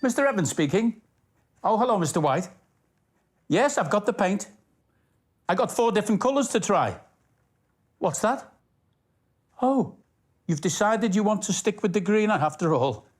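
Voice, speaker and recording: A man presenting and reading stories for young children, speaking directly to the listener in an animated way. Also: high voice, measured pace, pauses often, no room sound. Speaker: children's storytime host, station worker character. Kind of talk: children's storytelling, scripted presenting to young viewers. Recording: music behind the voice. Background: background music.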